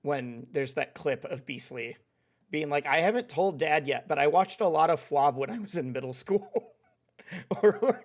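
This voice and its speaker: A man's voice: on the high side, lively intonation, a little slow. A young man hosting a live stream, chatting casually and laughing often as he reads out follows. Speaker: streamer host.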